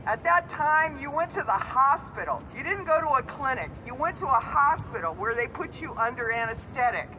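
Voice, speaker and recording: An older woman giving a personal testimony speech at an outdoor rally, slow and emphatic. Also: high-pitched, expressive. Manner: slow, deliberate, emphatic delivery. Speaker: rally speaker. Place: outdoor city street rally. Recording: muffled outdoor recording with traffic noise.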